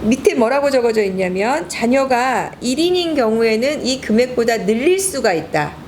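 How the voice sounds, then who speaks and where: A middle-aged woman, a lawyer hosting a talk show, explaining rules clearly to a co-host. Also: a little high, very animated, moderate pace, darkish tone, a little hiss or hum.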